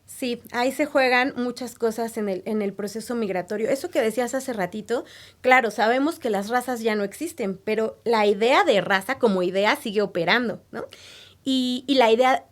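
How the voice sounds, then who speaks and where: A woman researcher speaks in a slightly high voice. She explains ideas patiently in an interview, pausing to rephrase and checking that the listener follows. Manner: thoughtful, explanatory, frequent tag questions. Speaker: guest researcher. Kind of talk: academic interview answers.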